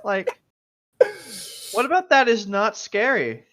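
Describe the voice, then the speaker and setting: A man's voice: sits high, very animated, takes it slowly, a bit bright. A man joking around as a co-host in casual banter, swearing freely, on a slightly dull lo-fi microphone.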